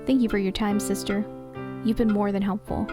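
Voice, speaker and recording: A woman playing a brisk, sharp-tongued lead police detective in an audio drama, dry and authoritative. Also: mid-range voice, flat delivery, over music, close to an everyday voice. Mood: polite, official.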